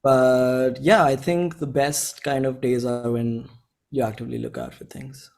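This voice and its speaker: Young Indian man chatting casually, medium pitch. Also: fairly even intonation, a little mellow. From Bhopal, India. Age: 20s. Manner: informal, halting, earnest. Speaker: musician, interview guest. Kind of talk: casual chat.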